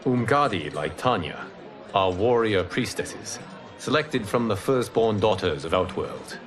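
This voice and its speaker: An ancient mentor god, speaks very low and measured. He is wise, composed and formal, as in a fantasy game cinematic, with clear music behind him. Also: lively intonation. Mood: calm. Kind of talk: video game cinematic acting.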